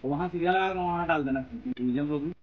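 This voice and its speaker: A man's voice: a little high, a little fast, muffled recording, a little hiss or hum. A man working as a technician, giving casual instructions to co-workers outdoors, picked up by a phone camera. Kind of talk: casual on-the-job talk. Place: outdoors at an electrical substation.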